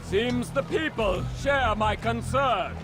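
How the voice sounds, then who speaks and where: An actor playing a fear-crazed, menacing knight leader in a video game, theatrical, sneering and declamatory. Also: male voice, very high-pitched, slow. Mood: rousing. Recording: loud music behind the voice.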